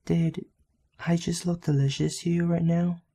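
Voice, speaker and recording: A man plays a serene, selfless young female goat in an anime fan dub. The voice sits slightly high, mellow and creaky. The delivery is soft, tender and deliberate. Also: flat delivery. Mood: gentle, resigned.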